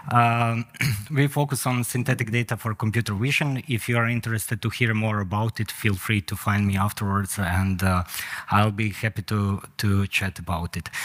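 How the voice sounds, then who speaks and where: A man's voice: mid-range voice, a bit bright. Croatian-accented man giving a tech talk. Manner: hesitant, frequent "uh" fillers, non-native phrasing. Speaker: conference speaker. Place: conference hall.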